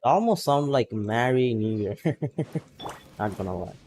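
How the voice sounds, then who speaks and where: Male streamer, relaxed and slow-talking, casual chatter with frequent laughs. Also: medium pitch. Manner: laid-back, chatty, laughs often, greets chat by name. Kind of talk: casual gameplay stream chat.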